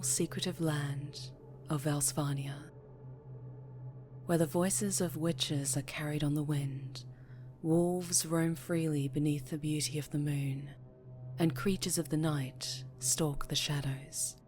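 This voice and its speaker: A woman storyteller giving scripted fantasy ASMR narration in a hushed, measured, dramatic way. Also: low-pitched, fairly even intonation, faint music behind.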